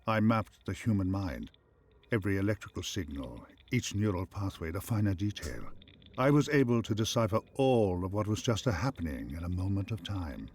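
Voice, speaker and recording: Solemn, low-voiced wise male AI, acted by a man. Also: lively intonation, a little mellow, a little hiss or hum. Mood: solemn, reflective. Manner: measured, solemn, formal phrasing. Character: ascended male AI, once human, calm, wise and wistful. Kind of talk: scripted game companion voice acting.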